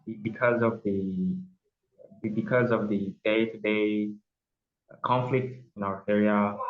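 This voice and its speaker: A young man with an Ethiopian accent, a judge speaking as a guest in a remote interview, often hesitating and repeating words as he searches for them. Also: lowish voice. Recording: tinny phone line with echo.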